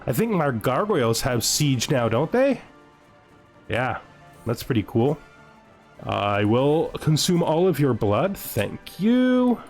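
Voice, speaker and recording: A man's voice: medium pitch, big pitch swings, slow pace, soft background music. A man hosting a gaming video, thinking aloud in casual commentary and playfully gloating with in-character asides.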